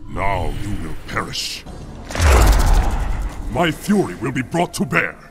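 An actor playing a proud, regal warrior emperor in a video game, speaking in formal, solemn, menacing lines. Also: male voice, on the low side, big pitch swings, slow, over loud music, heavily acted. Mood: stern, threatening. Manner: formal, solemn, stately threats. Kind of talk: video game character dialogue.

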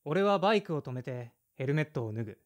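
A man's voice: fairly high pitch, very animated, fast. A man playing a blunt, awkward man of thirty-five in an audio drama, in casual dialogue and brooding narration. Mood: reflective. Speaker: lead character and narrator.

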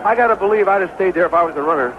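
A man's voice: sits high, racing. A man giving conversational, opinionated color commentary on a radio baseball broadcast, with a bantering manner. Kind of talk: conversational radio sports analysis. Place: ballpark broadcast booth. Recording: very noisy, phone-like 1970s radio broadcast recording.

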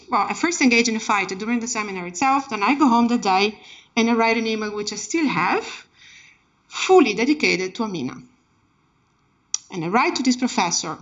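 A woman speaks at a lectern with a fairly high voice, telling her story as an academic lecture. Her delivery is emphatic and passionate, leaning on key words. The recording from the seminar room is slightly muffled and lacking in detail. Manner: emphatic, dramatic, impassioned delivery. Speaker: keynote speaker, academic researcher.

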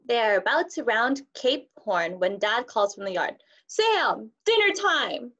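A young woman with a slightly high voice reads a picture book for children as their teacher. It was recorded on a video call, so the sound is rather dull. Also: sing-song, measured pace, fairly bright tone. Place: indoors, on a group video call.

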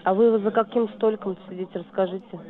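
A woman's voice: mid-range voice, steady tone, fast talker. Matter-of-fact woman on a muffled phone line. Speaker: club receptionist answering phone. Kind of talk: brief phone conversation.

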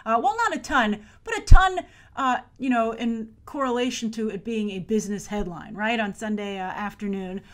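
An American woman in her thirties hosting chatty box office commentary to camera, with emphatic delivery and stressed, drawn-out words. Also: on the high side. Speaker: movie news show host.

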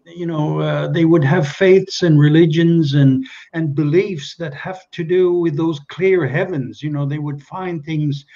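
A man's voice: a little high, steady tone, measured pace, hardly any pauses, dark tone, highs cut off. An older man from Kenya whose first language is Czech, speaking as a guest on a video call interview and trailing off into many hesitations and restarts. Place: small indoor room at home. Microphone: webcam on a video call.

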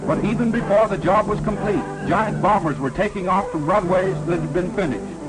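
A man's voice: sits high, normal speed, rough recording. A man narrating a scripted 1940s newsreel in a formal, declamatory manner on a vintage film soundtrack. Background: loud orchestral newsreel music.

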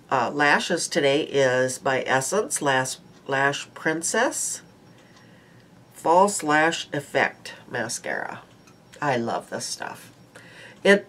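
Slow-talking stuffy older woman. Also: deep voice. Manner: rambling, chatty, frequent ums and uhs. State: stuffy nose. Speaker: beauty vlogger. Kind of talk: casual daily makeup chat. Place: small home room indoors. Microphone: webcam at close range.

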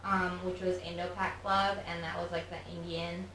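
A young woman talking casually in a studio interview, peppering her speech with fillers. Her voice is bright and a bit low in pitch, heard from a little distance in a roomy space. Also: flat delivery, normal speed, slight background noise. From United States. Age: young adult. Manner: chatty, many "like" fillers. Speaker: guest. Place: studio with office chairs.

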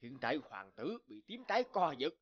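A man playing a shifty, nervous court sorcerer in a cartoon fairy tale, talking in a furtive, hushed way. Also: fairly high pitch, sing-song, brisk, highs cut off. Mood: worried. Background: background music.

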